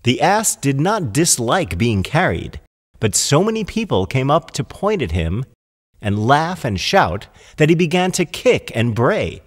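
Animated male storyteller in long reverb. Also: medium pitch, unhurried, slightly rough. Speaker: narrator. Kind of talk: scripted storybook narration.

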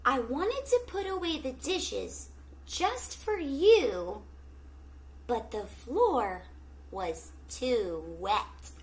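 A middle-aged woman teacher reading a picture book aloud to children, with expressive, drawn-out storytelling and questions to the young listeners. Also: high voice, slight background noise. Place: small indoor room at home with plants.

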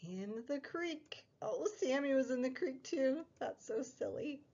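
A young woman hosting a preschool story time, reading a picture book in a sing-song, exaggerated way with drawn-out words. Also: high-pitched, lively intonation, warm tone, dull audio. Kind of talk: picture book read-aloud for small children.

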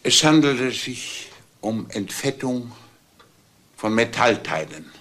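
An actor playing a formal, wistful older businessman, with halting, careful phrasing, in a crime drama. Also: medium pitch, lively intonation, a bit bright.

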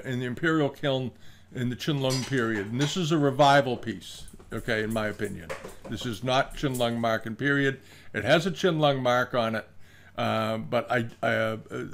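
Gravelly older man, halting commentary. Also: medium pitch. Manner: halting, repeats phrases, musing. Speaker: antiques auction commentator, host. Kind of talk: unscripted commentary on auction items. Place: furnished room at home, indoors.

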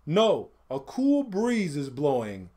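A man's voice: on the high side, wildly swooping pitch, takes it slowly, darkish tone, heavily acted. A man voicing indignant, squabbling young village brothers in a storybook folktale, with insistent, complaining delivery. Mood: insistent arguing.

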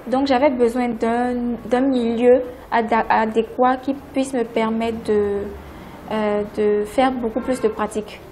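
A woman's voice: on the high side, fairly even intonation, unhurried, mellow. A woman in her twenties with a Beninese accent, introducing herself as a language student in a classroom interview. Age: 20s. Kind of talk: self-introduction testimonial. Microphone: lapel. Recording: noisy classroom.

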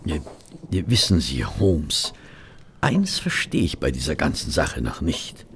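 An actor in a German audio drama playing a genial, puzzled gentleman companion to a detective, hesitant and good-natured. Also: extremely low pitch, wildly swooping pitch, a little slow, slight background noise, heavily acted. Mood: pensive.

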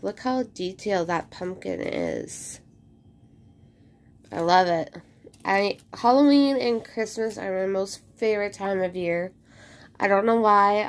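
A woman chatting casually as she unboxes craft kits at home, a little congested from a cold, rambling with plenty of ums. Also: medium pitch. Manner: relaxed, rambling with many ums. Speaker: crafting vlogger. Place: indoor craft room at home.

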